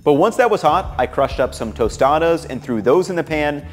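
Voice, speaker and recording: A young man in his twenties, upbeat and relaxed, narrating his home cooking and chatting to the camera in his kitchen. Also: fairly high pitch, moderate pace, soft background music. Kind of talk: cooking vlog voice-over and casual to-camera chat.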